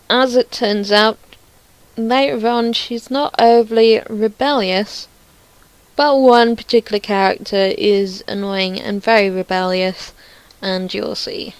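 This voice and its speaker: A woman's voice: medium pitch, clean tone, dry recording, low-quality recording. A woman giving casual screen demo commentary as a creator showing her own project, rambling and thinking aloud with some self-deprecation.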